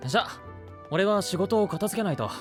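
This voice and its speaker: A man's voice: high voice, animated, a little fast. A man playing an easygoing, dependable young knight in an anime-style audio drama, casual and brotherly. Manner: casual, frank, friendly. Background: background music.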